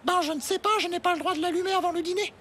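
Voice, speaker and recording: A woman voicing a naive, slow-witted little schoolgirl in a French cartoon, childish and literal-minded. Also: very high-pitched, steady tone. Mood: grumbling.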